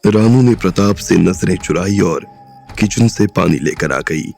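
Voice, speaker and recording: Very deep, gravelly male narrator. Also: unhurried, faint music behind. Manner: steady storytelling. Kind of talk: scripted audiobook narration.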